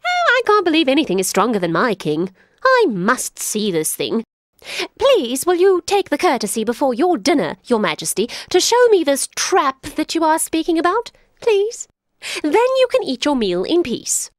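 A woman voicing a tricky male jackal who talks in a fast, fawning and giggly way in a storybook. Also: high-pitched, huge pitch swings, no room sound, clean studio sound, pitched above the performer's own voice. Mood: sly. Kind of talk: storybook read-aloud.

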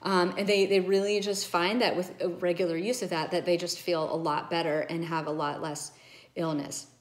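A woman's voice: lowish voice, little pitch movement, moderate pace. A middle-aged woman doctor explaining nose and sinus care to a phone camera at home, earnest and emphatic. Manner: earnest, emphatic, gestures with her hands. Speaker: ENT doctor. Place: home room with bookshelves, indoors. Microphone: phone camera at arm's length.

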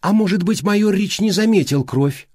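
A man's voice: high-pitched, animated, moderate pace, a little mellow, dry recording, clean studio sound, pitched above the performer's own voice. A man voicing a reserved, literal-minded female secretary in an audiobook, speaking in a hesitant, matter-of-fact way. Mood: unsure. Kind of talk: audiobook character dialogue.

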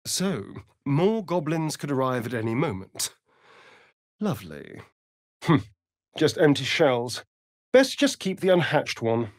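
An actor playing a sardonic, vain vampire rogue in a video game, with a theatrical, arch, drawling delivery. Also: male voice, medium pitch, big pitch swings, unhurried, pauses often, no room sound, studio quality. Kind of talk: video game character voice lines.

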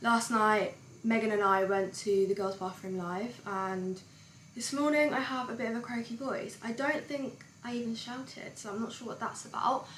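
A woman's voice: a little high, fairly even intonation, moderate pace, low-quality recording. A young woman chatting to a handheld camera in a casual vlog, full of fillers like "like". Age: young adult. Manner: chatty, filler-heavy, lots of "like". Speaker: vlogger. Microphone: handheld camera close to the face. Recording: loud background noise.

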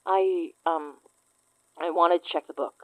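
A woman in her twenties playing a wry, teasing, trauma-worn investigator in a naturalistic horror audio drama, with a deadpan manner. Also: on the low side, a little slow, no echo, low-quality recording. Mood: guarded, earnest. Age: 20s.

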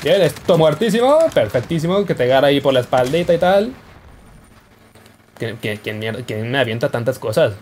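A man's voice: mid-range voice, expressive, fairly quick, darkish tone, a little hiss or hum. A young man giving casual, joking commentary over his gameplay. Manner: playful, jokey, yelps and laughs while playing. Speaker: gaming YouTuber.

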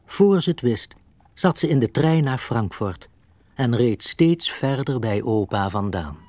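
A man's voice: mid-range voice, animated, a little slow, darkish tone, no echo. A man narrating a scripted children's story as a storyteller.

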